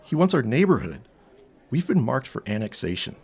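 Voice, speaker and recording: Earnest, husky teenage boy sleuth. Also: mid-range voice, big pitch swings, moderate pace, a little mellow. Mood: urgent. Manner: earnest, boyish slang, secretive. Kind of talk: period audio drama acting.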